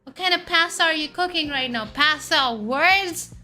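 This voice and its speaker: A woman from India with an Indian accent, a VTuber in her thirties chatting casually with her viewers and firing off questions and loud exclamations. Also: sits high, moderate pace. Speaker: VTuber streamer. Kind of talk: casual chat reading viewer messages. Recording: faint background music under voice.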